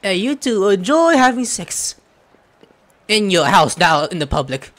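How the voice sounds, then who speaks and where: A man's voice: high voice, sing-song, unhurried, a bit bright. A young man giving casual, jokey, rambling commentary as he plays a video game. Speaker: gaming streamer. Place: indoor room at home.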